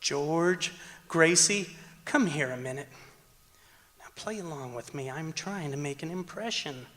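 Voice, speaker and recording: A man playing an earnest fellow in an old radio sitcom. He talks slowly in a bright, highish voice that echoes around an auditorium. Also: expressive. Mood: eager, conspiratorial. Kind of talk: old-time radio comedy acting on stage. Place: stage of a performing arts auditorium. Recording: echoey auditorium with long reverb.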